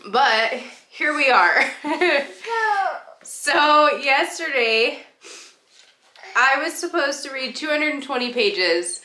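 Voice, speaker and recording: A woman's voice: high voice, expressive, takes it slowly, pauses often, pushed and bright. Stuffy-nosed woman chatting in an echoey room. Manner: chatty, rambling, with laughs and fillers. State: congested, stuffy nose. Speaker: book vlogger. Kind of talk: casual reading vlog chat. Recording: echoey room with faint noise.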